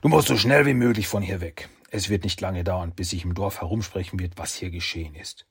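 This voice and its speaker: A stern old master urges his pupil with fatherly concern, read by a man in a storybook. His voice is low, gravelly and deeply husky. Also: a little fast, fairly bright tone, full character voice. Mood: urgent, fatherly. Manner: urgent, fatherly. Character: stern, caring old male martial arts master.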